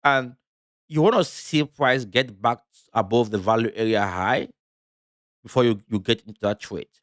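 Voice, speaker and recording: A man from Cameroon talks through market charts on a live stream in a loose, halting way, full of fillers and self-corrections. His voice sits at an ordinary middle pitch. The recording is dry and close, with almost no room sound. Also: a bit bright, no room sound. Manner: loose, halting delivery with many fillers and restarts. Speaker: crypto trading streamer. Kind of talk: live trading commentary.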